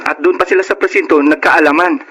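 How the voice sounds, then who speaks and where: A man's voice: fairly high pitch, fairly even intonation, fast, fairly bright tone, close to an everyday voice. A man playing a polite, devoted young boyfriend in a Filipino radio drama, with a Filipino accent. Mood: calm, explanatory. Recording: clearly noisy radio drama recording.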